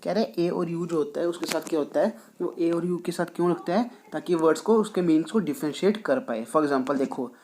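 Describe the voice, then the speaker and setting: A man's voice: fairly high pitch, darkish tone, a little hiss or hum. Patient man teaching French. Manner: patient, repeats each point, reads English rules slowly. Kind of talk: explaining a language lesson, mixing in English.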